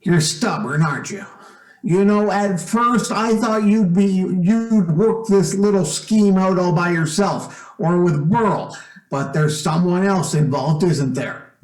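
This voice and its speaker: An actor playing a shrewd, outwardly genial stepfather who probes with quiet menace in an old-time radio suspense drama. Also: high-pitched, roomy sound, poor audio. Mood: slyly suspicious. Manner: deliberate, probing, hesitant phrasing.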